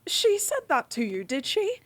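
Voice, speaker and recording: High-voiced, devoted lady-in-waiting. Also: huge pitch swings, normal speed, no echo. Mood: concerned, questioning. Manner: formal, gently teasing and coaxing. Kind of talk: fantasy audio drama acting.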